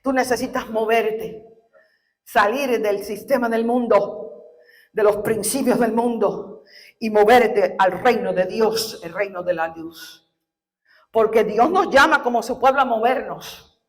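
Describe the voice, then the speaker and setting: A high-pitched woman from Cuba preaching from the pulpit. She builds to loud, tearful, fervent peaks, then drops into slow, deliberate scripture reading. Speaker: pastor. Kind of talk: sermon.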